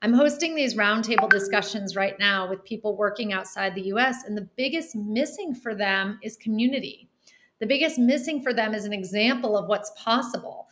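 A woman's voice: medium pitch, normal speed, fairly bright tone, slight background noise. A woman in her forties, a podcast guest speaking animatedly, laughing often and acting out little quotes. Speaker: guest, nonprofit founder. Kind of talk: podcast interview.

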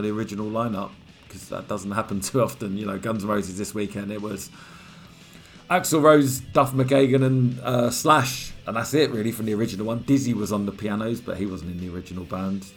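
Male podcast host, lower register, croaky voice, chatty and rambling, soft music bed. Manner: chatty, rambling, with frequent fillers. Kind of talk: casual podcast monologue.

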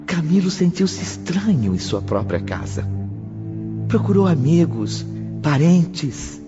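Male narrator for a radio drama, dramatic, muffled sound w loud music behind. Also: a little high, very animated, slow pace. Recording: muffled, under prominent music.

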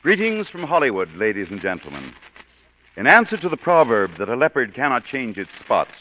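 A man's voice: mid-range voice, huge pitch swings, a little fast, sharp tone, a little hiss or hum. A man hosting a radio theater broadcast, giving a formal, florid introduction in a polished announcer manner, on a dull lo-fi recording. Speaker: radio show host and producer.

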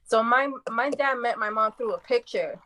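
Chatty Dominican-born woman, fairly high voice. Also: normal speed, pushed and bright. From Dominican Republic. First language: Spanish. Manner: chatty, laughs often while telling stories. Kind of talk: casual family chat.

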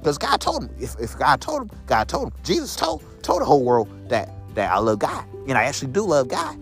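Deep-voiced young man rambling to viewers. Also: very animated, a little fast, creaky, faint music behind. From Illinois, USA. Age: 20s. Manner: repetitive, restates lines in several persons. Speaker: vlogger. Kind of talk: rambling casual monologue to viewers. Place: outdoors in the cold.

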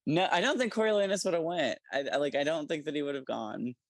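A podcast co-host of medium pitch talks casually and emphatically, in the manner of someone debating a book with friends. The sound is dry, with very little room to it. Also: fast, no room sound.

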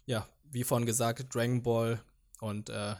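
A man's voice: medium pitch, little pitch movement, unhurried, darkish tone. A young man whose first language is Vietnamese, co-hosting a podcast and recalling things in a meandering, hesitant way. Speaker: podcast co-host. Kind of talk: casual chat.

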